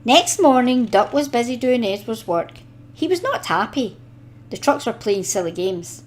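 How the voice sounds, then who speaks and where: Female storybook narrator for young children, higher voice, animated melody, deliberate delivery. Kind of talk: expressive scripted storybook narration.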